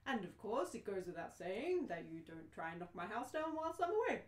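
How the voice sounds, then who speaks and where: A woman's voice: medium pitch, big pitch swings, fairly quick, natural voice. A woman playing an exasperated, bewildered ordinary man in an amateur radio play, dry and questioning. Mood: reasoning, wary. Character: exasperated, bewildered ordinary Englishman defending his house. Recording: roomy, echoing live reading.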